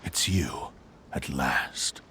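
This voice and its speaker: A man's voice: very deep voice, drawn-out words, heavy background noise, heavily acted. A man voicing a menacing, brooding swordsman antagonist in a video game, with an ominous, dramatic manner.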